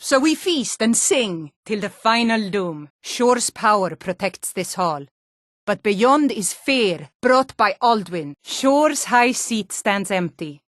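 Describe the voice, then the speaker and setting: A woman's voice: mid-range voice, expressive, slow pace, dry recording, clean studio sound. A woman playing a proud, hearty Nord warrior in a fantasy game, declaiming solemnly in lofty, archaic, bardic phrases.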